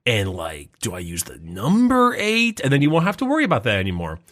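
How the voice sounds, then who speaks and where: A man's voice: medium pitch, huge pitch swings, a little fast, fairly bright tone. A man co-hosting a casual podcast, slightly nasal as he recovers from a cold, rambling with many restarts. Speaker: podcast co-host.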